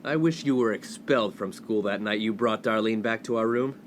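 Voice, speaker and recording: A man's voice: on the low side, moderate pace, a little hiss or hum, natural voice. Man acting a pompous, indignant young college snob. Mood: indignant, scolding. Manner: pompous, scolding. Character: indignant, snobbish young upper-class college man, protective brother. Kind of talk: comedic radio play acting.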